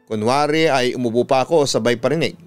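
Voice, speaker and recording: A Filipino man narrating a listener's letter in the first person like a radio storyteller, in measured, deliberate phrases. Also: mid-range voice, clear, resonant tone. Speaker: radio announcer and storytelling host. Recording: music playing behind the voice. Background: instrumental music.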